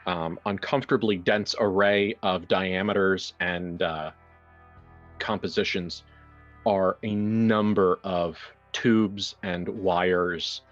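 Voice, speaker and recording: A man with a low voice talks as a game master, casual and wry, improvising as he goes. The sound is slightly dull and lo-fi, with music playing behind him. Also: fairly even intonation, slow pace. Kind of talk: casual improvised introduction and horror game narration.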